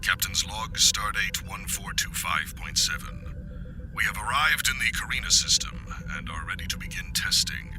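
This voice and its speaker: A man's voice: fairly low pitch, lively intonation, normal speed, lightly acted, rough recording. A man playing a terse, grave alien starship captain dictating a formal log in a sci-fi tabletop game. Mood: formal, measured. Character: terse Andorian starship captain, increasingly worried, reading log. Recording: music playing under the voice.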